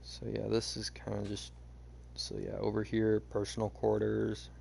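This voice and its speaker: A young man chatting casually while he plays a game, rambling with lots of fillers, his voice a bit hoarse. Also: lowish voice, monotone, moderate pace, mellow, a little hiss or hum. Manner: rambling, hesitant, many fillers. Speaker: streamer. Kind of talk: casual gameplay chat.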